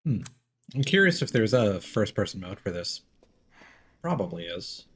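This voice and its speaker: A man's voice: on the low side, big pitch swings, normal speed, a bit bright, husky. A man giving casual live gameplay commentary, musing aloud and reading in-game notes out as he goes. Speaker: video game streamer.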